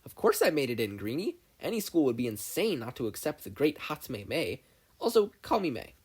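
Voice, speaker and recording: A performer voicing a brash, self-important, excitable teenage girl inventor in a story reading. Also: mid-range voice, a little fast, breathy edge. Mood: boastful, excited. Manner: loud, exasperated, boastful.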